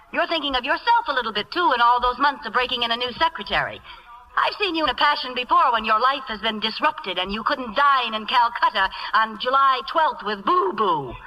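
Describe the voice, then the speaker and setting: A sharp, wisecracking lovestruck secretary. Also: female voice, fairly high pitch, expressive, muffled recording. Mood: defiant. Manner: brisk, defiant, wry. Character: wisecracking, hard-bitten secretary woman in love. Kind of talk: old-time radio comedy acting. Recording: noisy vintage radio broadcast recording.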